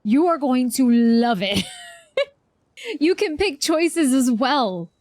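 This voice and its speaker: A woman's voice: sits high, lively intonation, takes it slowly, darkish tone, no echo, studio quality. A woman livestreaming a game, chatting casually with viewers in an effusive, exclamatory way. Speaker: game livestreamer reacting to a quest. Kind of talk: casual livestream chat and reactions.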